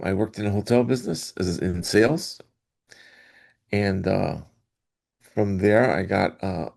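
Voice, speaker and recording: A middle-aged man from Hawaii, a guest in an interview, telling his story in a rambling way with restarts and soft laughs. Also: lowish voice, vocal fry. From Hawaii, Oahu. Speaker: guest, athletic director association executive. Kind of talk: casual interview talk.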